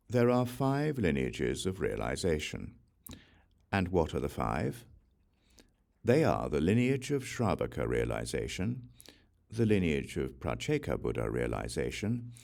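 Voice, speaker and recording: Male audiobook reader for scripture, bass-deep with a gravelly creak, solemn and measured delivery. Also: darkish tone. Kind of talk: scripted reading of a Buddhist scripture.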